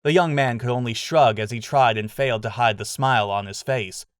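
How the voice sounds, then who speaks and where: Man, narrator, scripted dramatic fantasy reading, medium pitch, dry recording. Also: fairly quick, clean tone, no room sound.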